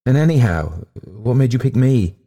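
A man's voice: medium pitch, animated, normal speed, mellow, voice pushed up. A man voicing a nervous, tired middle-aged man in a storybook reading, agitated and exasperated in his protests.

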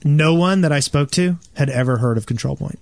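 A man's voice: a little high, fairly quick, gravelly, a little mellow, dry recording. A man hosting a casual gaming podcast chat, often restarting his sentences as he talks. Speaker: podcast host.

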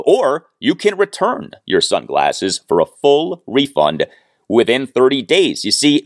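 A man hosting a solo sports podcast, speaking in an emphatic, deliberate way as he delivers commentary and reads. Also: lowish voice, no echo, clean studio sound. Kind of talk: solo sports commentary and host-read ads.

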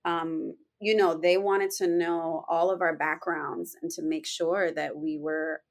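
A woman's voice: deep voice, unhurried. A young woman with a Puerto Rican accent, an actress speaking earnestly in a casual interview, filling her talk with "you know.". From Puerto Rico heritage. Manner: earnest, animated, many "you know" fillers.

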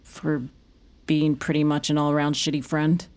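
An actress playing a fragile, haunted, worn-out mother in an audio drama, halting and emotional. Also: deep voice, monotone, darkish tone, close to an everyday voice. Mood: halting, remorseful.

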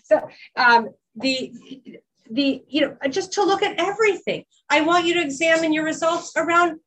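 A woman's voice: extremely high pitch, normal speed, fairly bright tone, rough recording. A woman life coach giving an earnest teaching talk, often restarting and repeating herself as she explains.

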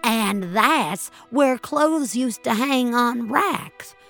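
A woman's voice: a little high, expressive, very slow, creaky, fairly bright tone, faint music behind, pitched above the performer's own voice, full character voice. A woman playing an angry, nostalgic elderly woman in an audio drama, speaking emphatically and wistfully with plenty of swearing. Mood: wistful, impassioned. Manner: emphatic, wistful, foul-mouthed. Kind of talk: dramatic audio fiction monologue.

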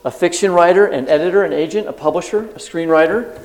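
A man co-hosting a live podcast panel, moderating in a jokey, brisk way. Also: on the high side, a little mellow. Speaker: podcast co-host. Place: live event stage. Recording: echoey room, faint noise.

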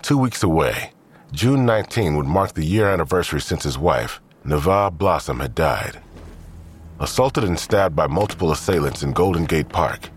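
A man giving a measured, grave scripted narration of a crime drama. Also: rumbling low, expressive, a little hiss or hum. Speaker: narrator of a fiction podcast.

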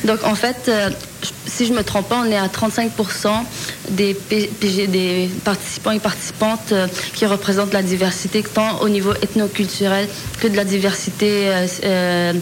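A woman's voice: mid-range voice, level tone, normal speed, noisy background. A young woman in her twenties answering interview questions earnestly, with many fillers and restarts. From Lebanese heritage. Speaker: student guest.

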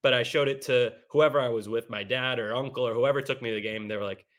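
A man in his late twenties co-hosting a sports podcast, excitable and jokey, with stammering restarts. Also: medium pitch, fast. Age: 20s. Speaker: podcast co-host. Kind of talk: casual sports podcast chat.

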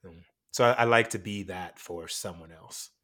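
A young man born in Jamaica speaks in a fairly low register. He talks casually and reflectively in an interview, circling back with fillers and restarts as he thinks. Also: normal speed, a bit bright. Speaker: actor and teacher.

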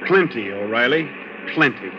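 A man's voice: mid-range voice, lively intonation, moderate pace, close to an everyday voice. An actor playing an anxious, earnest young male officer in a 1940s radio drama. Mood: tense, earnest. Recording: dull, noisy old 1940s radio recording.

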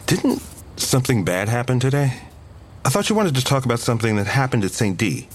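An actor playing an affectionate young man, a boyfriend with a casual, gently teasing manner, in an audio drama. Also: mid-range voice, expressive, brisk, a little hiss or hum, natural voice. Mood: concerned. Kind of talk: audio drama dialogue, naturalistic.

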